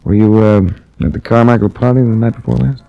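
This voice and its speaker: An American actor playing a wry, tough private investigator in 1940s radio detective style. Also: male voice, mid-range voice, little pitch movement, fairly quick, creaky, highs cut off, natural voice. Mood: dry, teasing. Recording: noisy restored 1940s radio recording.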